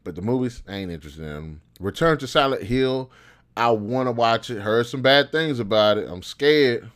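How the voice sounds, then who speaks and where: A man's voice: mid-range voice, animated, moderate pace, fairly bright tone. A man hosting a show, giving casual, opinionated commentary in slangy, informal speech as he thinks aloud. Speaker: host of a show on video game movies.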